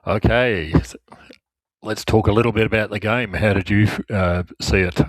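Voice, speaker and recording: A man's voice: lowish voice, fairly even intonation, normal speed, no echo. A man with an Australian accent hosting a casual sports podcast. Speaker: podcast host.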